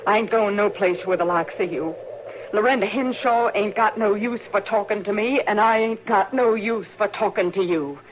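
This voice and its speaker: A woman's voice: mid-range voice, normal speed, natural voice. A woman playing a bitter, grieving frontier mother in an old radio western, plain-spoken, with a rural Western American accent. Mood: scornful. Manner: plain-spoken, folksy, unyielding. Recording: muffled, hissy old radio recording.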